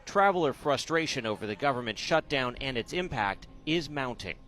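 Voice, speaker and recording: A man's voice: medium pitch, expressive, moderate pace, over music. A man reading a scripted broadcast news report as a television reporter.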